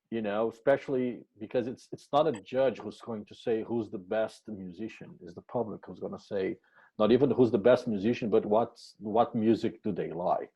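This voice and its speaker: A man from Brazil hosting a casual podcast interview, halting and restarting his words. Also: mid-range voice, mellow, lo-fi sound.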